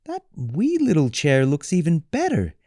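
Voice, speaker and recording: A man's voice: fairly high pitch, huge pitch swings, measured pace, mellow, no echo, clean studio sound, pitched above the performer's own voice, exaggerated voice. A man voicing a polite, impulsive and sheepish little girl in a children's storybook. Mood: hopeful.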